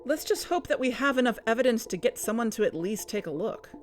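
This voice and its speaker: An actress playing a measured, practical investigative podcast host in an audio drama. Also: female voice, fairly high pitch, lively intonation, faint music behind, natural voice.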